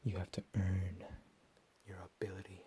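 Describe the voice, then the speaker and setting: A man playing a deranged, menacing captor in a whispered ASMR roleplay, profane and slow with drawn-out emphasis. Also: low-pitched, level tone, dark tone, a little hiss or hum, natural voice. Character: deranged menacing male captor, recently escaped asylum.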